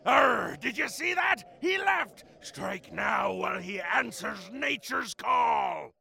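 A man playing a blustering, villainous space pirate captain with a raspy growl in a comedic sci-fi audio drama. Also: a little high, sing-song, slow pace, heavily acted. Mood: gleeful attack. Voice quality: raspy, gravelly. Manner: theatrical pirate growl, shouting.

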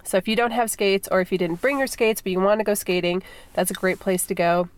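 A woman in her thirties from Minnesota hosts a casual podcast while nursing a cold. Her voice is congested and sits at a middle pitch. She rambles along with fillers and restarts. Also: steady tone, brisk. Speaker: podcast host. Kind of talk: casual chat.

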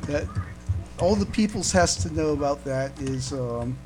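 A man co-hosting a casual fan podcast, speaking haltingly and often repeating his words as he comments. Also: a little high, animated, over music. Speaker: podcast co-host. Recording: noisy home recording with hum.

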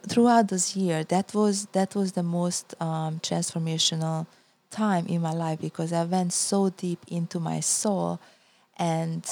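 A woman's voice: fairly low pitch, gravelly, a little mellow. A middle-aged woman from Hungary with a Hungarian accent, earnestly telling her story in a podcast interview and often repeating words as she searches for them. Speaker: guest healer.

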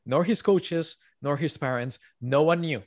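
A man's voice: fairly high pitch, a little slow, darkish tone. A man who is not a native English speaker, talking earnestly as an author guest on a podcast and repeating his key points. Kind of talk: podcast interview.

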